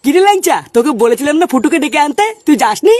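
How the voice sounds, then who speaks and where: A woman's voice: high-pitched, animated, fast, a little mellow, no echo, clean studio sound. A woman voicing a bossy, boozy young male ringleader in a comedy cartoon, brash and ordering friends around.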